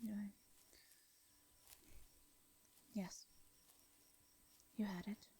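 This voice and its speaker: A woman with an English accent voicing a gentle, anxious, centuries-old vampire in an intimate fantasy audio roleplay, speaking softly and breathily, with hesitant, formal wording. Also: medium pitch, little pitch movement, slow, slightly rough. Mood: wounded, sorrowful. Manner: hesitant, stammering, formal old-fashioned speech.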